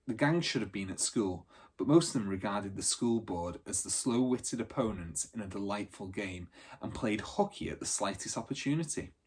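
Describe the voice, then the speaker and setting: A man reading a children's adventure book aloud as a storyteller, in animated narration. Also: on the low side, moderate pace, breathy edge.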